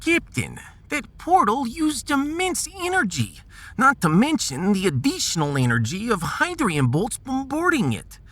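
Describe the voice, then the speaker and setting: A man voicing an excitable, grumbling male scientist in a sci-fi audio drama, theatrical and sardonic. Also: very high-pitched, unhurried, full character voice. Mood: flustered, doubtful. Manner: theatrical, exclamatory.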